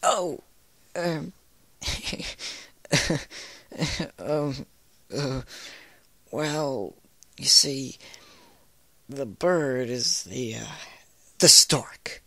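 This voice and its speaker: A man's voice: mid-range voice, animated, drawn-out words, vocal fry, long pauses, fairly bright tone, no echo, pitched above the performer's own voice, exaggerated voice. A man voicing an uneasy, honest female farm pony in a fan fiction reading, folksy and stammering. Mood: flustered, stalling. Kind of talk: fan fiction read aloud in character.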